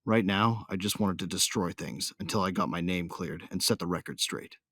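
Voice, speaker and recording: A man's voice: low-pitched, normal speed, fairly bright tone, no echo, clean studio sound. An actor playing a brooding, hot-tempered male bounty hunter with a tough, slangy manner, narrating an audio drama in the first person.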